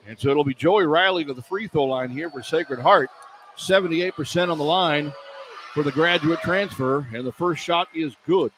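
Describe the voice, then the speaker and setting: Higher-pitched male basketball play-by-play announcer, noisy arena. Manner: clipped running description, excited on shots. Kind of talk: live radio basketball commentary. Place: indoor sports arena. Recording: live arena broadcast with background noise.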